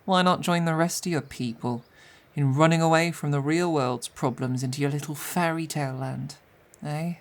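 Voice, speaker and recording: A cocky bandit woman voiced by an actress in a fantasy audio drama. She has a low voice and talks with crude, mocking defiance, sneering at whoever she addresses. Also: normal speed. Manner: sneering, taunting. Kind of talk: fantasy audio roleplay acting.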